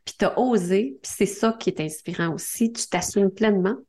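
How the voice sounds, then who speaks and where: A woman's voice: medium pitch, normal speed, mellow. Podcast host: woman, middle-aged, Quebec French accent. Effusive, encouraging, mid-range voice. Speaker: podcast host, coach and speaker. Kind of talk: podcast introduction and interview.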